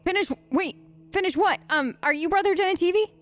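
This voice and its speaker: Bright-voiced young woman gaming. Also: extremely high pitch, fairly quick, faint music behind. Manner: chatty, playful, joking. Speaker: let's play host. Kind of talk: casual role-play gameplay commentary.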